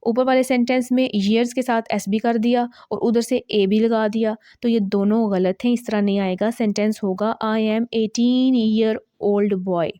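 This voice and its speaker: Woman English teacher, fairly high voice, patient and slow, stretches out example sentences. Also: steady tone, dark tone, clean tone, no echo, studio quality. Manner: slow, drawn-out model sentences; checks understanding with quick asides. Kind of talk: grammar lesson explaining common mistakes.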